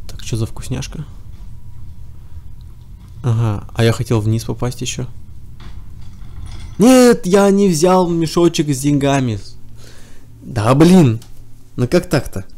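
A man's voice: medium pitch, big pitch swings, slow, pauses often, mellow. A man with a cold giving casual running commentary while playing a game, chatting to himself and to the enemies on screen. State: has a cold. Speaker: let's play gamer.

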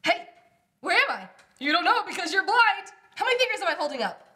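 A woman's voice: very high voice, very animated, fast talker, sharp tone, exaggerated voice. A young woman reading the part of a goofy, blunt, teasing sister in a comedy script, with playful exaggeration. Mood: goofy, mocking. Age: young adult. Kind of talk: comedy table read.

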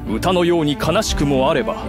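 An actor playing a theatrical storyteller in a fantasy game, reciting old legends politely and with flourish. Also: male voice, a little high, lively intonation, brisk, over music, natural voice. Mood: dramatic.

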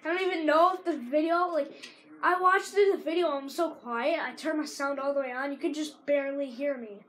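A teenager with a sore throat rambling casually while commentating a video game, breaking into excited shouts at plays. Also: female voice, sits high, fairly quick. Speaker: gamer.

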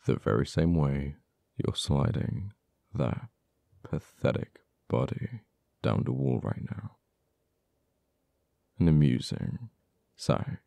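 A man acting an uptight, cold and bitter government official in an ASMR roleplay, with halting, dramatic delivery. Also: sing-song, warm tone, no echo, heavily acted. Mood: cold, gloating. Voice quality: very deep, gravelly. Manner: halting, teasing, dramatic pauses and repeated words.

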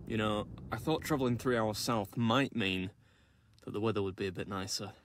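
A man's voice: lowish voice, moderate pace, a little hiss or hum. A man in his thirties with a Northern English accent, narrating a food challenge vlog with dry, self-mocking humor. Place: car and restaurant.

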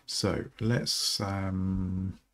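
A middle-aged man with a rough morning voice, thinking aloud slowly and haltingly with many ums while he codes at his desk. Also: sits low, little pitch movement, fairly bright tone. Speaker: programmer streaming a coding session.

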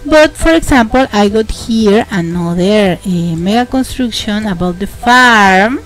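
A woman's voice: medium pitch, animated, faint music behind, low-quality recording. Mexican-accented woman walking through a game. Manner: halting, repeats words and restarts often. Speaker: gameplay tutorial host. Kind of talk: casual game tutorial narration. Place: home, indoors.

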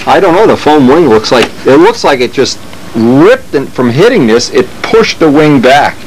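Man, medium pitch, casual how-to narration, unscripted, thinking aloud, workshop recording with faint hum. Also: moderate pace. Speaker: hobbyist demonstrating model plane repair. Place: indoor hobby workshop. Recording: noisy workshop with steady machine hum.

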